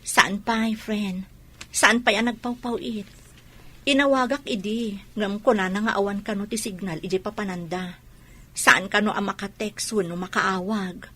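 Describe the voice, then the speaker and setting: A woman's voice: mid-range voice, fairly even intonation, a little slow, sharp tone. An actress playing an anxious, suspicious soldier's wife in an Ilocano radio drama, pointed and plaintive as she questions.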